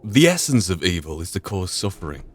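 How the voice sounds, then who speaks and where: Low-voiced man doing game commentary. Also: big pitch swings, brisk, slightly rough. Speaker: gaming channel player. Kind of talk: game playthrough commentary and reading aloud.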